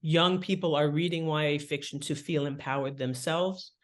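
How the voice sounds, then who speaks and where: A woman speaks as an interview guest at a middling pitch. She explains her points in an animated, conversational way, like an author talking through her craft. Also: moderate pace. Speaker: guest, fiction writing author.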